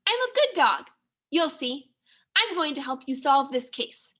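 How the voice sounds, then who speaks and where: Storybook pet dog, male, eager and bouncy, female reader; high, very animated. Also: a little slow, heavily acted. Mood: eager, defiant. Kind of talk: children's storybook read-aloud.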